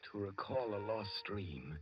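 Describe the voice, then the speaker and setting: A low-pitched, intense jailed man in 1940s radio drama style, theatrical and brooding. The recording is muffled, with clear background noise. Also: expressive, a little slow. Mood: brooding, dreamy. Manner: dramatic, brooding delivery with repeated phrases. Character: accused man in jail, obsessively puzzling out a murder.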